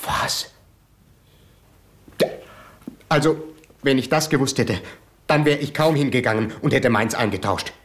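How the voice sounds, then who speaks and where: A man's voice: mid-range voice, a little slow, long pauses, natural voice. An actor playing a nervous man in his thirties, a village poacher, who stammers and rambles while questioned in a radio crime play. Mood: flustered. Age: 30s. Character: nervous 33-year-old village poacher, folksy, evasive. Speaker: suspect under questioning. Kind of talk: 1970s radio crime drama acting.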